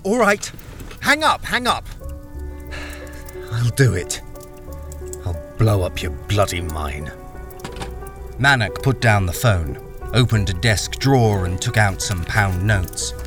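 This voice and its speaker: A male actor as a cornered deserter and miner in a radio crime drama. His voice is low, troubled and wary, with lively rises and falls. Faint music sits behind him. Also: takes it slowly, long pauses. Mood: bitterly resigned. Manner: hesitant, troubled, grim. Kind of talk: radio crime drama acting with first-person narration.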